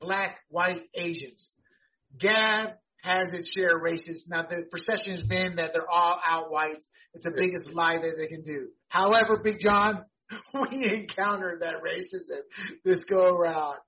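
Animated bright-voiced man ranting on a podcast. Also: sits high, measured pace, highs cut off, slight background noise. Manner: animated, rambling, repeats words, laughs while talking. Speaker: podcast co-host. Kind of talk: casual political and sports chat.

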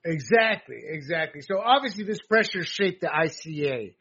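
A man's voice: on the high side, expressive, normal speed, fairly bright tone, breathy edge, lo-fi sound. A man hosting a political talk show, giving jokey, emphatic commentary and often repeating his words.

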